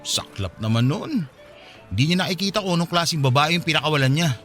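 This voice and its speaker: Easygoing filipino guy in his 20s, really lively pitch swings, casual radio drama talk, faint music. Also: fairly quick. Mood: teasing, encouraging. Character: 27-year-old man, easygoing childhood friend, settled boyfriend.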